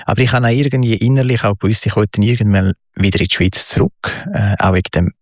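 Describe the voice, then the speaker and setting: A man's voice: on the low side, fast talker, a little mellow, no room sound, studio quality. A man with a Swiss German accent, a guest telling his life story in an interview, thoughtful and hesitant with many fillers. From Switzerland.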